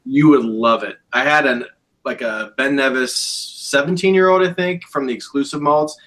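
A man's voice: mid-range voice, moderate pace, fairly bright tone. A man in his thirties, an effusive, emphatic guest reviewer chatting casually on a video call from home. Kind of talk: casual chat.

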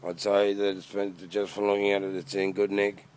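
Australian male, casual private seller on handheld phone video, low monotone, matey and slangy, outdoor background noise. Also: normal speed. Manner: blunt, matey, slangy with mild swearing. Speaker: private seller showing his boat. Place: outdoors in a car park.

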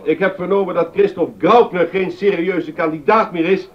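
An actor playing a measured, courteous city councillor speaking formally in a period drama. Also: male voice, high-pitched, fairly even intonation, natural voice. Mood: courteous, doubtful. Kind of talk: period radio-drama acting. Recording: dull lo-fi sound.

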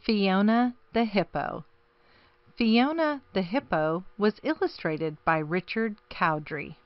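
A woman reading a children's picture book aloud expressively, with deliberate, dramatic phrasing. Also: medium pitch, animated, dry recording, dull audio. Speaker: storybook reader.

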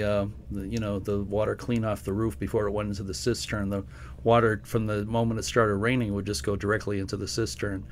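A man hosting a podcast, asking relaxed, conversational interview questions. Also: fairly low pitch, slight background noise. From United States. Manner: relaxed, conversational, with restarts and fillers.

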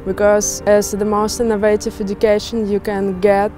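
A young woman with a Russian accent talks carefully about her studies in a level, unvaried voice. She was recorded outdoors in a park. A clear music bed plays underneath. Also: mid-range voice, little pitch movement, moderate pace, mellow. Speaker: music student. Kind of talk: interview testimonial.

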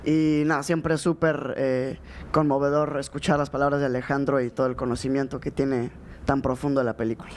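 A man's voice: mid-range voice, flat delivery, brisk. A young man with a Mexican accent giving casual, somewhat hesitant interview answers into a handheld microphone. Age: young adult. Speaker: actor being interviewed. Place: indoor festival interview booth. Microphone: handheld microphone held close. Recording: light ambient noise behind the voice.

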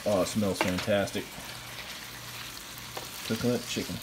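A man's voice: on the low side, fairly even intonation, unhurried. A man casually reviewing a skillet while he cooks in his home kitchen, talking in a chatty, enthusiastic way with restarts and fillers. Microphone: camera mic, speaker off screen. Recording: echoey kitchen with faint background noise.

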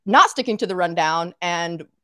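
A woman's voice: fairly low pitch, animated, moderate pace, a bit bright, dry recording. Chatty woman on a dry video call. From Arkansas, United States. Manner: chatty, frequent "like" and "you know" fillers. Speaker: podcast host and guest. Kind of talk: casual interview chat. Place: home room, indoors. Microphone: webcam on a video call.

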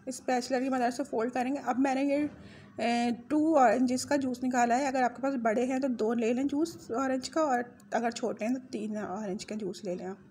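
A woman with a fairly high voice talks through a recipe in her home kitchen, chatty and informal, often stopping to fix a measurement. A faint hiss sits under her voice. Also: steady tone, normal speed, warm tone. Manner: chatty, self-correcting on measurements. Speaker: home cooking channel host. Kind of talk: casual recipe walkthrough.

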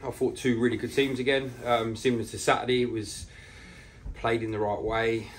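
A man in his forties, a football manager, giving a measured post-match interview with pauses as he thinks. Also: mid-range voice, level tone, a little hiss or hum. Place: small bare indoor room.

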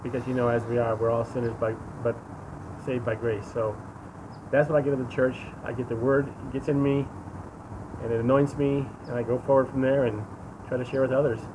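Male, 60s, American. Earnest, emphatic testimony in conversational style, shot outdoors over clear traffic noise. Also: mid-range voice, level tone, fairly quick. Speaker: interview guest giving testimony. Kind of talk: casual personal testimony. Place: outdoors against a sunlit wall. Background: vehicle and street noise.

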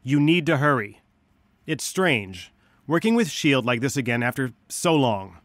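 An actor playing an earnest scientist who leads a resistance, guiding heroes through video game missions in a measured, dryly wry way. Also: male voice, medium pitch, lively intonation, a bit bright, dry recording. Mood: calm, wry.

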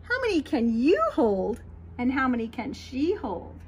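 An American woman reads aloud for small children in a high-pitched, sing-song delivery. She takes her time over the phrases and addresses young listeners directly. The recording is outdoors, with light ambient noise behind her. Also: very animated, measured pace. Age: middle-aged. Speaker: children's read-aloud host, teacher. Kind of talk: expressive picture-book read-aloud for young children. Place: small backyard patio, outdoors.

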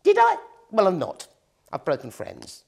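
A woman's voice: fairly low pitch, huge pitch swings, measured pace, vocal fry, darkish tone, breathy edge, pitched above the performer's own voice, heavily acted. A woman voicing a greedy, lazy, sulky witch in a children's storybook, whining in colloquial speech. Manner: colloquial, whiny, dropped words.

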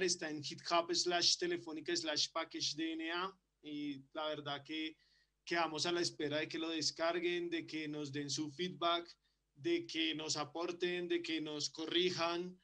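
Man with a flat, level voice. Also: a little high. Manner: hesitant, repeats words. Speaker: conference speaker. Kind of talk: conference talk and Q&A. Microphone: video call.

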